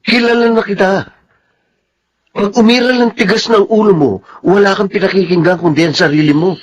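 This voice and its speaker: Actor as high-pitched, exasperated Filipino boyfriend. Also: male voice, fairly even intonation, a little fast, lightly acted. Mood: exasperated, resigned. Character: insistent, exasperated young boyfriend. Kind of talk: Filipino radio drama acting. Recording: dull, noisy radio drama recording.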